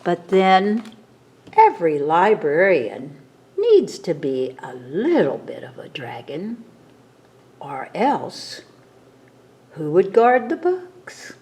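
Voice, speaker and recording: A woman reading a picture book aloud to children as a storytime reader, in a dramatic, expressive manner. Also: lowish voice, slow, pauses often.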